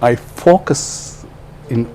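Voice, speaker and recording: A man's voice: extremely slow, dark tone, a little hiss or hum. A man from Kerala with a Malayali English accent, a translator answering interview questions hesitantly with many fillers and restarts; his first language is Malayalam. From Kerala, India.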